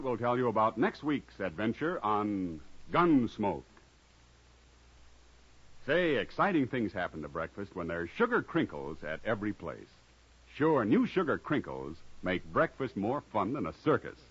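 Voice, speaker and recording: A man's voice: lowish voice, very animated, normal speed, husky. A man announcing in vintage radio commercial style, upbeat and salesy. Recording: muffled old radio recording.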